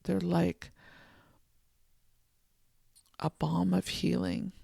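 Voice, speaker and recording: A podcast host giving a soft, contemplative solo monologue from a home office, voice a little froggy this morning. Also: medium pitch, flat delivery, gravelly, husky, dry recording, clean studio sound. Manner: soft, slow and contemplative, with gentle restarts. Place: home office and art studio.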